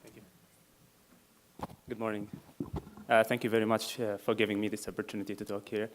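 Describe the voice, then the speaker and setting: A man from Syria with a Syrian Arabic accent giving a prepared talk at a meeting, measured and full of pauses. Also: lowish voice, little pitch movement, a little hiss or hum. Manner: measured, with many filler pauses. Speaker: refugee speaker at a meeting.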